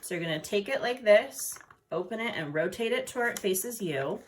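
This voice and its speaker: A woman's voice: medium pitch, lively intonation, normal speed. A woman art teacher talking children through a craft step by step in an informal way. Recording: noticeable background noise.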